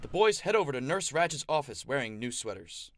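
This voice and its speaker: A man's voice: medium pitch, sing-song, a little fast, slight background noise, close to an everyday voice. A man playing a genial old-time radio announcer, hosting and narrating a scripted story over music. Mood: scene-setting.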